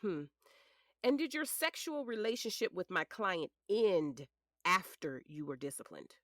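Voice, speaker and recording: A woman's voice: on the low side, animated, measured pace, fairly bright tone, no room sound, clean studio sound. A woman playing a polished defense attorney in a courtroom drama, formal, methodical and pointed. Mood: pointed, cutting. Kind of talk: audio drama acting.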